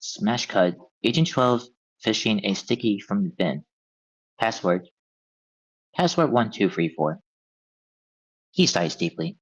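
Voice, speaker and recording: A man's voice: fairly low pitch, animated, slow pace, long pauses, breathy edge, dry recording, lo-fi sound. A man narrating a script's stage directions at a table read.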